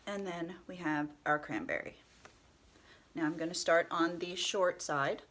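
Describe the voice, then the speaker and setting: A woman with a stuffy nose from a cold, calmly talking through a step-by-step tutorial and often dropping to a soft whisper. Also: fairly low pitch. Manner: calm, unhurried, often drops to a soft whisper. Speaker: head wrap tutorial host.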